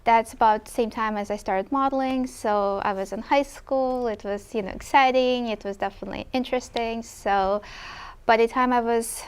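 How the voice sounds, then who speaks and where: Ukrainian-accented woman with a smooth voice. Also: a little high, fairly even intonation, normal speed. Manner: hesitant with many ums, slightly non-native grammar. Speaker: guest. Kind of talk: casual interview chat.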